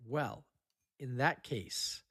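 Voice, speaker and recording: A man's voice: mid-range voice, drawn-out words, fairly bright tone, breathy edge, no room sound, studio quality. A man hosting a casual film podcast, chatting in a jokey, easily amused and affirming way. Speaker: podcast host.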